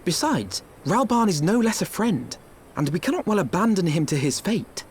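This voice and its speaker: An earnest young scholar. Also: male voice, high voice, big pitch swings, normal speed, a little mellow, a little hiss or hum. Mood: gracious. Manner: formal, earnest. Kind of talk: video game voice acting.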